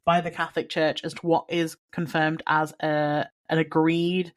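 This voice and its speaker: A woman co-hosting a casual podcast, chatty and agreeable, full of "like" and quick asides. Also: deep voice, little pitch movement. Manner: chatty, lots of likes and agreeing mms. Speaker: podcast co-host.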